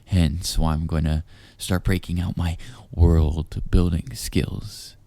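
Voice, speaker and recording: A man speaking in a hushed, teasing, deliberate way straight to the listener, as in an intimate audio roleplay, close on the mic. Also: low voice, fairly even intonation, gravelly, no echo. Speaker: voice actor.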